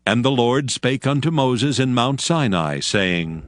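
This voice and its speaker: A man's voice: medium pitch, expressive, unhurried, a little hiss or hum. A man narrating scripture in a formal, solemn storytelling manner from a script. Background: music.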